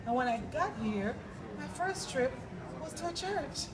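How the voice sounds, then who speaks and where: A woman's voice: high voice, unhurried, background noise. Jamaican woman telling an emotional story. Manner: expressive, animated storytelling. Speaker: interview guest. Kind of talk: emotional personal testimony. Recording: distant mic with loud crowd behind.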